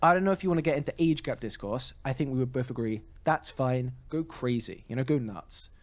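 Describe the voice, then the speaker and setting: A man speaks as a podcast co-host in loose, conversational review mode. His delivery rambles and halts, often doubling back to rephrase as he goes. The pitch sits in an ordinary middle range for a man. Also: animated, a little fast, a little hiss or hum. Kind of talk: casual film review chat.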